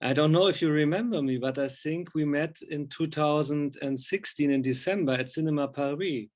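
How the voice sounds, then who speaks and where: A man's voice: a little high, normal speed, darkish tone. A man speaking non-native English, interviewing informally with rambling, enthusiastic fan talk. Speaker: interviewer, film and music journalist.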